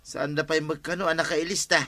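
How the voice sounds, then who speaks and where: A man's voice: on the high side, level tone, brisk, pushed and bright. A man playing an aggrieved poor village husband in a comedy radio drama, grumbling and insistent. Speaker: actor in an Ilocano comedy drama.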